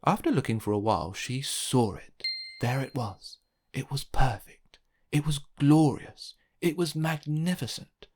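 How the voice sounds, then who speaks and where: A man reads a children's storybook aloud in a medium-pitched voice. His delivery is expressive narration, made for young listeners. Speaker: children's book reader.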